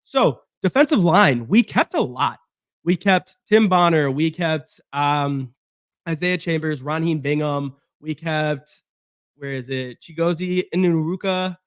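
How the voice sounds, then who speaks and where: A man with a hoarse voice from being sick, chatting casually through a solo football roster breakdown with fillers and restarts. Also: on the high side, unhurried, no room sound, clean studio sound. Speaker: sports podcast host.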